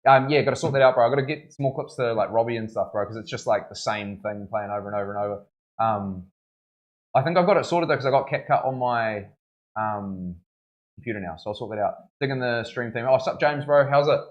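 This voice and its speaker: A man's voice: mid-range voice, fast, highs cut off. Laid-back young New man. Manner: laid-back, slangy, lots of "bro" and fillers. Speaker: tattoo artist streaming live. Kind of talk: casual live-stream chat.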